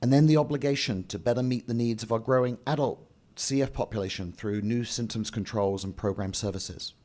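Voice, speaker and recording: A man's voice: medium pitch, a little fast, a little mellow. A man delivers a prepared speech from a podium at a banquet, reading steadily and adding the odd offhand aside. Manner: reads a script with occasional asides and restarts. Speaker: event speaker and emcee. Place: large banquet hall.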